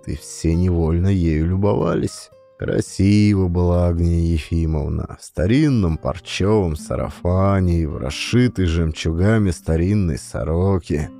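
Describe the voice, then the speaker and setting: A man reads classic fiction as an expressive audiobook narrator. His voice is deep and softly dark, with dramatic phrasing over faint music. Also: vocal fry.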